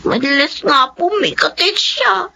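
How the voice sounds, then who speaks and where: An actress with a Filipino accent playing a dutiful, timid young daughter in a radio drama. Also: female voice, high voice, animated, a little slow, vocal fry, bright, forceful tone, full character voice. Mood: worried. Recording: dull audio with faint noise.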